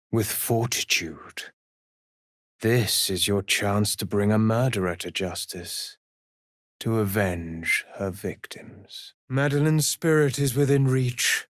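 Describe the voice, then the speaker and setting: A man's voice: on the low side, dry recording, studio quality. A man playing a solemn, menacing necromancer judge hungry for vengeance in a fantasy video game, speaking formally and theatrically in archaic phrases.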